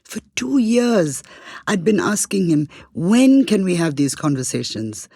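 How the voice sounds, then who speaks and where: A woman co-hosting a podcast, telling things in a conversational, expressive way. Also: lowish voice, a little slow, breathy edge, dry recording, studio quality. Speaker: podcast co-host.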